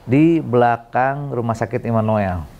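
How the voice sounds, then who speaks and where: A middle-aged man from Bandung, a city council member, explaining his work in a relaxed interview, with many fillers and self-corrections. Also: medium pitch, measured pace, dull audio. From Bandung, Indonesia. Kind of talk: conversational interview.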